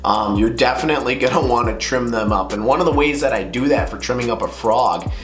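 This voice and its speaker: A man's voice: mid-range voice, brisk, a bit bright, reverberant, soft background music. A man hosting a fishing video, talking casually to camera with a slightly nasal voice from a cold, rambling with many fillers. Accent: Upper Midwest American. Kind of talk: casual how-to talk to camera.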